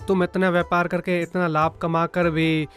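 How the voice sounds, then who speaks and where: A man's voice: sits high, level tone, normal speed, fairly bright tone, faint music behind. A man playing a grateful, modest middle-aged merchant in a moral-story cartoon.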